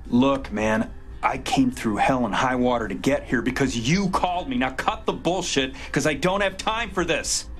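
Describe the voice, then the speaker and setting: An actor playing a blunt, hot-tempered American convict on the run in a video game, terse and exasperated. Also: male voice, mid-range voice, normal speed, faint music behind, natural voice. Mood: angry, impatient. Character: embattled, fed-up male fugitive convict, blunt, hot-tempered.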